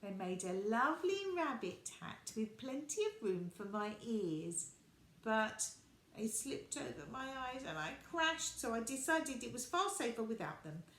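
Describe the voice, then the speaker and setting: Woman voicing an excitable storybook toy rabbit. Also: on the high side, unhurried. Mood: matter-of-fact. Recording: echoey room with background noise.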